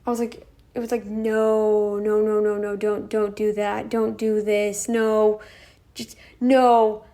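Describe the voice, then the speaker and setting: Young woman vlogger, croaky and gravelly, unhurried, halting delivery. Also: mid-range voice, flat delivery, dark tone. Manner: rambling, halting with restarts. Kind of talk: casual talking-head vlog.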